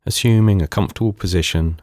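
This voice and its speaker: A man leading a guided meditation in calm, unhurried, soothing short phrases with pauses between them. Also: sits low, dry recording, clean studio sound. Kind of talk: guided body scan meditation.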